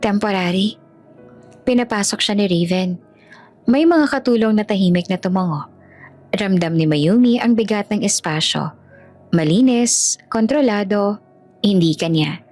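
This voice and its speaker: A woman's voice: mid-range voice, expressive, moderate pace, pauses often, darkish tone. A woman with a Filipino accent giving a dramatic scripted narration as a storyteller. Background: music.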